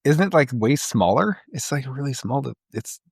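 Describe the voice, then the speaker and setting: A middle-aged man co-hosting a podcast in casual chat, with a gravelly, husky voice. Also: mid-range voice, expressive, normal speed. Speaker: podcast co-host.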